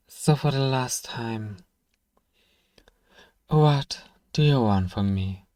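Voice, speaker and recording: Male actor as sardonic fantasy shopkeeper, exasperated and stern, low-lit soft timbre, very dry close sound, for ASMR roleplay. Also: no room sound, natural voice. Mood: impatient, scornful. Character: irritable, sarcastic, exasperated fantasy apothecary shopkeeper, grudgingly caring.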